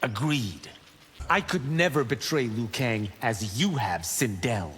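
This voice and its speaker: A young Shaolin fighter full of playful confidence. His mid-pitched, animated voice banters cockily in a reverberant game mix. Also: takes it slowly, slight background noise. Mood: confident. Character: cocky, wisecracking young Shaolin monk fighter. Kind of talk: video game character acting.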